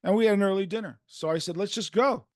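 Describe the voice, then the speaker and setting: An older man with a New York accent, hosting a podcast and telling rambling stories with animated quoted voices. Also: sits high, quick pace, no room sound, clean studio sound. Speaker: podcast host. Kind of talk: casual podcast chat.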